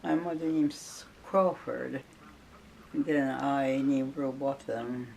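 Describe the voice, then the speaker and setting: A woman's voice: very deep voice, steady tone, slow, creaky, a little mellow, a little hiss or hum. An older woman from town recalling her family and schooldays in an oral history interview, matter-of-fact.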